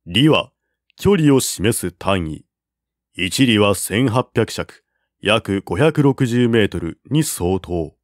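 Slow, measured male narrator. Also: fairly low pitch, animated, no room sound, clean studio sound. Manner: measured, deliberate reading with raised voice for quoted generals. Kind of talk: scripted reading of a classic war novel.

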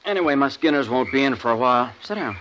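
An American actor playing a hearty, hospitable, blunt and folksy buffalo hunter in a 1950s radio western. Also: male voice, medium pitch, lively intonation, fast, close to an everyday voice. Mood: friendly, matter-of-fact. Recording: muffled, noisy old radio recording.

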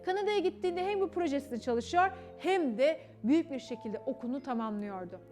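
Young woman, high-pitched, theatrical and emphatic children's storyteller, music underneath. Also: animated, a little fast, darkish tone. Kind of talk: animated children's story narration. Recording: music behind the voice. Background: background music.

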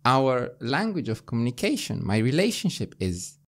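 A man in his forties from Romania with a Romanian accent, hosting a podcast conversation in earnest, persuasive tones, recorded in a small studio on a boom-arm mic. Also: sing-song, moderate pace. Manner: earnest, persuasive, often asks "right?". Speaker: podcast host. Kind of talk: casual conversation. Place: small studio with foam panels.

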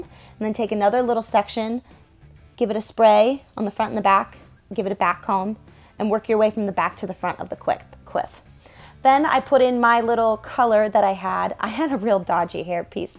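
A woman's voice: medium pitch, vocal fry, darkish tone. Chatty young woman narrating a makeup tutorial. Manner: chatty, self-deprecating, laughs often. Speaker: stage performer and makeup vlogger. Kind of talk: casual tutorial voice-over.

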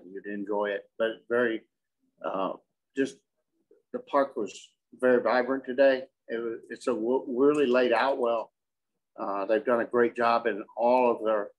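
A middle-aged man, a coach answering questions at a postgame press conference in a measured, halting way, often repeating words. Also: on the low side, low-quality recording. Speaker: softball coach. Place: indoor press conference room with curtain backdrop.

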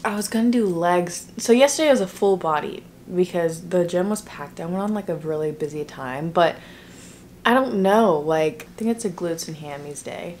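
A young woman vlogger chatting casually to a handheld camera in her bedroom and car, drawn-out, with frequent "like" and some whispered asides. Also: fairly low pitch, darkish tone, a little hiss or hum. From Texas, US. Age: young adult. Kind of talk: casual vlog chat to camera. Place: bedroom at home, then car. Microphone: camera at arm's length.

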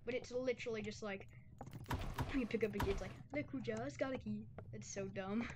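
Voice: mid-range voice, a little fast. A young gamer giving casual, rambling gameplay commentary full of slang and filler "like", recorded at home. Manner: rambling, slangy, uses "like" constantly. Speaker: gaming video creator. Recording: heavy noise, clicks.

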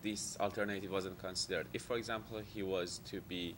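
Audience man, very low voice, hesitant with fillers, faint room rumble. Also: fairly even intonation, a little fast, a bit bright. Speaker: audience member asking a question. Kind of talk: asking a question.

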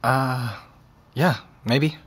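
Audio drama teen lead: kind, awkward boy, slightly rough-edged voice, halting delivery. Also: medium pitch, a bit bright, full character voice. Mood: shy, awkward. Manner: hesitant, halting. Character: awkward, hesitant, kind 17-year-old boy. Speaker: actor. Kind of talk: naturalistic audio drama acting.

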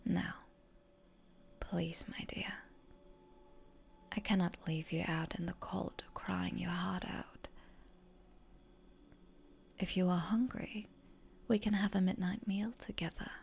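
A woman acts out an aristocratic vampire lady in a roleplay ASMR. She whispers in a low, breathy voice with a creaky edge, coaxing and genteel. There is light noise behind her seductive murmur. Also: steady tone, slow, pauses often. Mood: reassuring, seductive.

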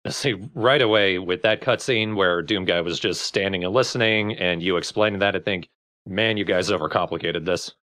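A man's voice: deep voice, moderate pace, a bit bright, dry recording. A man chatting casually as co-commentator on a game. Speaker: let's play co-commentator. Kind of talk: casual chat.

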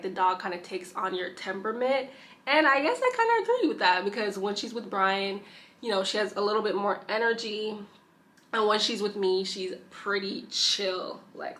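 A woman's voice: mid-range voice, bright, forceful tone. Chatty young woman vlogging at home. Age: young adult. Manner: chatty and gushing, fillers like "like". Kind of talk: casual vlog talk to camera. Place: bedroom indoors. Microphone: camera mic, sitting or handheld close.

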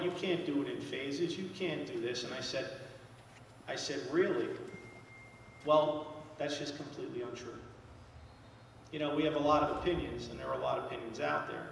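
A man, giving a loud, plain-spoken talk as an engineer at a town hall podium. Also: medium pitch, gravelly, a little hiss or hum. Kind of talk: public presentation at a podium. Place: large school auditorium. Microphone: podium microphone. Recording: echoey hall, heard from far off.

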